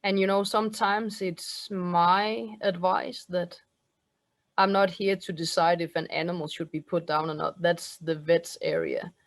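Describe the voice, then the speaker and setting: A woman's voice: on the low side, fairly even intonation, measured pace, no room sound. A woman from Denmark in her forties with a Danish accent, a medium and healer talking earnestly in a casual video-call interview, English as her second language. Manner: earnest, laughs often. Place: indoors at home.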